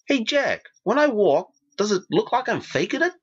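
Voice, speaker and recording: A woman's voice: mid-range voice, very animated, moderate pace, husky, dull audio. A woman voicing a sweet, earnest teenage newsboy with a limp who speaks plaintively in a storybook reading.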